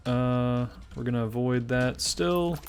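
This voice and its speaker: Gravelly young Southern man narrating gameplay. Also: mid-range voice, slow pace, a little hiss or hum. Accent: Southern US, Tennessee. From Memphis, Tennessee. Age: 20s. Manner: rambling, self-deprecating, chatty. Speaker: gaming channel host. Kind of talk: casual gameplay commentary.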